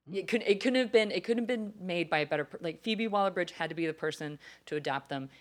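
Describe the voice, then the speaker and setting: Low-voiced chatty woman. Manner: chatty, laughs easily, swears casually. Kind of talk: casual chat.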